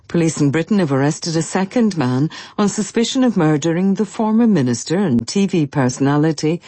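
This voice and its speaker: A newsreader delivers a scripted bulletin in a medium-pitched voice. The tone is mellow and rather husky, recorded very dry and close. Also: no echo.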